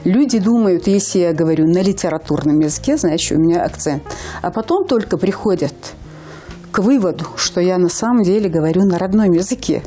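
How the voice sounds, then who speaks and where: Warm-voiced Ingush woman teacher in her fifties. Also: lowish voice, lively intonation, soft background music. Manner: earnest, measured, with hesitant fillers. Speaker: Ingush language teacher, studio guest. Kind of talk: TV morning show interview. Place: TV studio.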